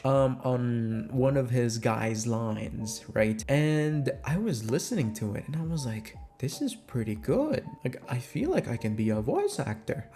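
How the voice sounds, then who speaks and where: A man's voice: medium pitch, mellow. A man with a Filipino accent chatting casually and candidly to listeners, answering questions in an informal way with the odd swear word. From Philippines. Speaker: ASMR voice actor and creator. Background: music.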